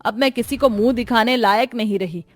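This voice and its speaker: A woman's voice: a little high, a little fast, no echo, soft background music, close to an everyday voice. A woman voicing a strict, middle-aged mother-in-law in a moral-story cartoon, indignant and domineering as she lectures. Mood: outraged.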